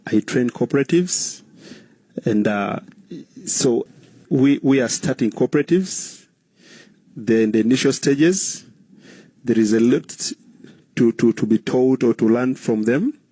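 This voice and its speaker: A man from Uganda with a Ugandan accent, answering interview questions in a measured, earnest way, explaining things in short phrases. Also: medium pitch, warm tone, dry recording. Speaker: guest, cooperative trainer.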